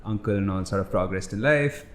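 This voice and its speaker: A man's voice: on the low side, a little fast. Young man telling stories in a roomy studio. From India. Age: young adult. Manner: reflective, loose storytelling with many fillers. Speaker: startup founder, guest. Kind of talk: casual podcast conversation. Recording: roomy echo.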